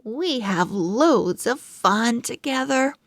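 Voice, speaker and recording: A woman voicing a proud, excitable little male pug telling his diary in a children's storybook, with dramatic, earnest phrasing. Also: fairly high pitch, takes it slowly, dry recording. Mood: affectionate, excited. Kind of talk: children's storybook character narration.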